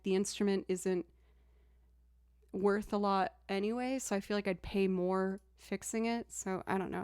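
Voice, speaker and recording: A young woman streamer with a low alto voice chats casually between songs. She talks in a relaxed, self-deprecating way and stops often to thank viewers. The sound is close and dry, with no room echo. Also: clean tone. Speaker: streamer and musician. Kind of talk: casual live-stream chat.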